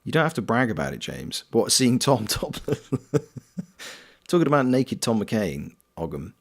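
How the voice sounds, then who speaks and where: A man's voice: lowish voice, sing-song, moderate pace, vocal fry. A British man streaming a game, chatting casually and reading viewers' comments aloud, greeting them by name. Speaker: streamer and voice actor.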